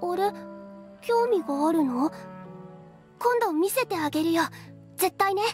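Anime game role: shy young woman alchemist, extremely high girlish voice, earnest, easily flustered, music underneath. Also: expressive, measured pace, darkish tone. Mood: eager. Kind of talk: Japanese anime game voice acting.

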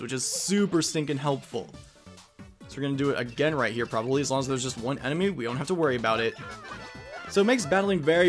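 A man giving casual, chatty let's play commentary with slangy enthusiasm. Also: fairly high pitch. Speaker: gaming video host.

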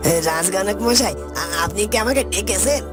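A woman's voice: medium pitch, expressive, normal speed, natural voice. A woman voicing a loyal male palace guard in a fairytale cartoon, formal and earnest. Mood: respectful. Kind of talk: dramatic cartoon voice acting. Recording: loud music bed. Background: music.

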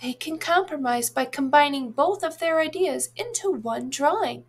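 High-pitched woman reading a children's storybook. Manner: expressive, deliberate delivery for young children. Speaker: read-aloud narrator, teacher. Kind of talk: children's storybook read-aloud.

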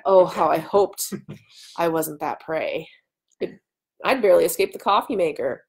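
A woman, giving an animated live reading of a story in a small room at home. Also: fairly low pitch, normal speed, husky. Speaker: author and host. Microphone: webcam mic at arm's length.

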